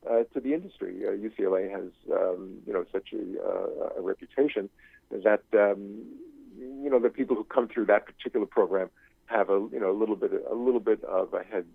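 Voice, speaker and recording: An older man giving an unscripted interview as a guest professor, halting and searching, often circling back over his phrases. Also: fairly low pitch, creaky, husky, no room sound. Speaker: guest, screenwriting professor and writer. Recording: thin phone-like line.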